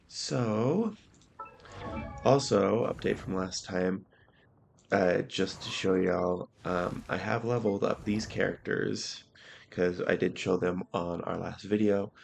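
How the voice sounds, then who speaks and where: Raspy, slow-talking gameplay host man. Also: sits low, a little hiss or hum. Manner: laid-back, with frequent fillers and throat clearing. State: hoarse from illness. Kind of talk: casual let's-play commentary.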